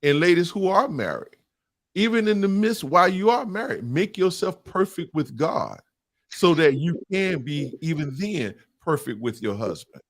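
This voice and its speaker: A man's voice: fairly high pitch, moderate pace. A middle-aged man hosting a men's discussion, talking in an emphatic, preaching style that repeats and stresses its points. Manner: emphatic, repetitive, animated. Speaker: podcast host leading a men's discussion. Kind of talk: impassioned preaching-style talk.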